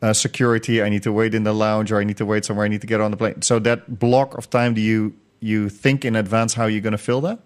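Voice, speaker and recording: Low-voiced male podcast host. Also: flat delivery, fast talker, few pauses, smooth. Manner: friendly, hesitant questions with many fillers and restarts. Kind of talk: podcast interview.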